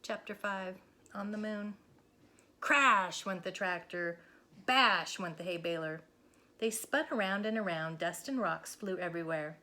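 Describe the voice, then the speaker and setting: Female children's storyteller: bright voice, unhurried, dramatic, expressive read-aloud. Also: mid-range voice. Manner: dramatic, deliberate phrasing.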